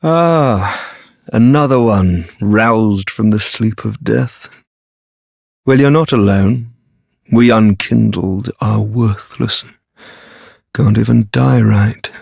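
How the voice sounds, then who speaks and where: A man voicing a bitter, defeatist warrior in a fantasy game, gravelly and husky, with a sneering, world-weary drawl. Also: lowish voice, takes it slowly, darkish tone, dry recording, studio quality, full character voice. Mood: bitter, resigned. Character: bitter, defeatist, sardonic male deserter warrior.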